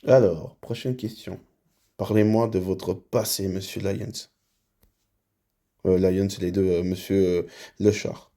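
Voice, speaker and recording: Sex: man. Origin: Dominican Republic. Role: hospital head interviewing. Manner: slow, measured questions. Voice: very low, gravelly, flat and dark. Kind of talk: in-character job interview.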